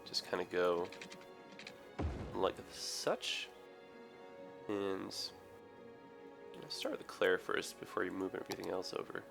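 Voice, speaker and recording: Man, raspy and hoarse, tired, low gravelly voice, rambling let's play commentary, soft music behind. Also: little pitch movement, slow pace, long pauses. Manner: rambling, many ums and self-corrections. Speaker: gaming video host.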